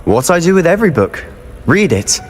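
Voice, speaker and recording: A man's voice: a little high, sing-song, fairly quick, faint music behind. An actor playing a bold, bookish young male wizard student in a video game, speaking. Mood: wondering. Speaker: game character.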